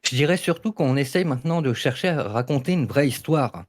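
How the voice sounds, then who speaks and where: A man's voice: mid-range voice, expressive, brisk, no echo, studio quality. A man speaking informally and emphatically in an unscripted debate, often repeating words and restarting his sentences. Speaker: podcast debate participant, audio fiction creator.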